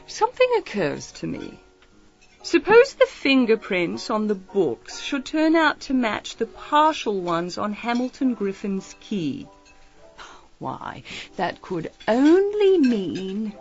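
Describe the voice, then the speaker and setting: A woman's voice: mid-range voice, huge pitch swings, takes it slowly, exaggerated voice. Teasing English girl sleuth, swooping intonation, chatty, falsely innocent, radio mystery, faint music. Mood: arch, teasing. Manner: mock-innocent, arch, chatty. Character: clever, teasing young female British detective. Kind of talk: radio mystery drama acting. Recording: slightly dull audio with music underneath.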